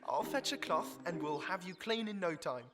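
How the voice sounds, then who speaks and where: A man playing a gentle, fussy, kindly gentleman animal in a children's audio drama, flustered and old-fashioned in his politeness. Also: high voice, lively intonation, moderate pace.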